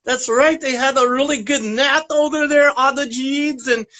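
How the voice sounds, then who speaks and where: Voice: sits high, steady tone, normal speed, bright, forceful tone. A puppeteer voicing a friendly young baby raptor dinosaur, chatty and childlike, in a children's puppet show. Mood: friendly, eager. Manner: childlike, repeats little words, storytelling.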